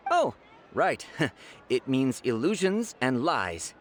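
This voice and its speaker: An actor playing a modest, absent-minded amateur fortune teller in a video game, hesitant and trailing off. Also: male voice, medium pitch, big pitch swings, takes it slowly, slight background noise. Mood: pleased, modest. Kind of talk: English video game voice acting.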